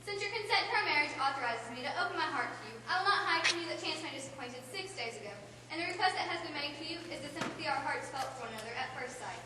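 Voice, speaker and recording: A woman's voice: high-pitched, lively intonation, racing, hardly any pauses. A woman playing an eager, earnest young daughter in love, in an amateur stage comedy recorded from far off in an echoing hall. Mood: dutiful. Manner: earnest, gushing theatrical delivery. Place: large echoing room at a staged play. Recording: echoey hall from far off, background noise.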